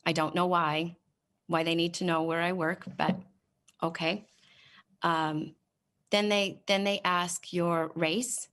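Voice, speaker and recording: Woman, lowish voice, frustrated, sarcastic, halting, hearing room. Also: flat delivery, a bit bright. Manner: exasperated, sarcastic questioning, halting with many fillers. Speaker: state senator at a committee hearing. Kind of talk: committee testimony, reading off a website form.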